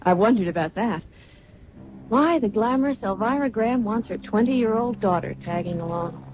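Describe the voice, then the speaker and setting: Earnest young daughter, played by a woman: mid-pitched, sincere, restrained, anxious; dull vintage radio sound. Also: normal speed, natural voice. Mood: earnest, worried. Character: earnest, anxious twenty-year-old daughter of glamorous mother. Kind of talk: old-time radio drama acting. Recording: muffled, noisy vintage radio recording.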